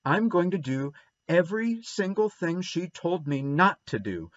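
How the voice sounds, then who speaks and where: A man's voice: high-pitched, very animated, measured pace, dry recording, pitched above the performer's own voice. A man reading aloud and voicing a defiant, rebellious young boy who talks indignantly and boastfully, on a dull lo-fi recording.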